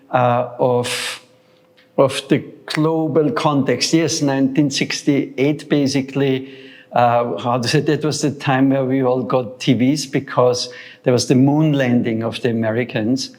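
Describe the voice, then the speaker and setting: Man in his sixties, from Austria, reflective, hesitant, restarts often, mid pitch. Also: darkish tone. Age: 60s. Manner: thoughtful, hesitant, many fillers and restarts. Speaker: guest, founder of a sound center. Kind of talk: reflective interview talk.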